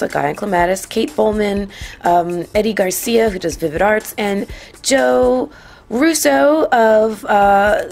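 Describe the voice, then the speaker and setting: A slightly hoarse woman hosting and producing a podcast, bubbly and excitable in casual chat. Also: medium pitch. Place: restaurant on the water.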